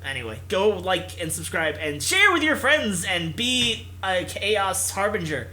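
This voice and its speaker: A man's voice: high voice, sing-song, unhurried, pushed and bright, rough recording. A man reading visual novel text aloud with casual commentary, in a theatrical, jokey manner. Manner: theatrical, jokey, puts on character voices. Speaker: host of a visual novel playthrough.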